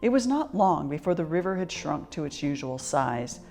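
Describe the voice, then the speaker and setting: A woman with a lowish voice reads a children's storybook as storyteller. Her reading is expressive, measured and articulate, with faint music around it. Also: gravelly.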